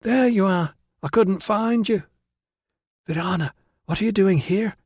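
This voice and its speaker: Man voicing a high, gushing, pleading young girl. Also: a little slow, a little mellow, breathy edge, no room sound, clean studio sound. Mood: eager. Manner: gushing, whiny, insistent. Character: silly, pleading, excitable teenage British girl. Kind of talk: audiobook character voice.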